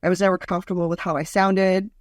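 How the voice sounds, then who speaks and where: A woman in her forties with a deep voice, a comedian guest telling stories in a lively, mocking way. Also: quick pace, no echo, studio quality. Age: 40s. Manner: lively, storytelling, mocking asides. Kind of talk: casual interview chat.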